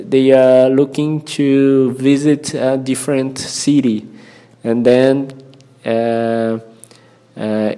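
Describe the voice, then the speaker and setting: A man with a Brazilian Portuguese accent speaks in a soft-edged, relaxed tone at a slow pace. He hosts an informal language lesson in hesitant English, often searching for words and repeating phrases as he goes. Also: mid-range voice, smooth. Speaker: language-learning podcast host.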